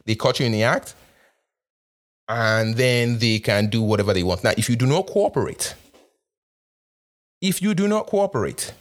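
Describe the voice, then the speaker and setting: A man's voice: mid-range voice, animated, moderate pace, pauses often. A young man from Nigeria with an accent shaped by both Nigeria and North America, talking casually with fillers and restarts. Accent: Nigerian with North American influence. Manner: conversational, with frequent fillers and repeated restarts. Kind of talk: casual monologue.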